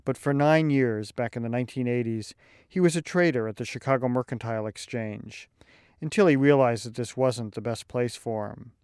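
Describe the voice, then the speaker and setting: A man hosting and narrating a radio piece in a measured, scripted way. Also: medium pitch, a little mellow, smooth, no room sound, clean studio sound. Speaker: radio host.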